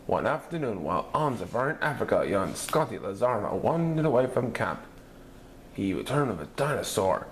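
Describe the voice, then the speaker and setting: A man reading a children's picture book aloud in an animated storytelling manner, putting on voices for characters. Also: lowish voice, fairly quick, slight background noise. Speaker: story reader.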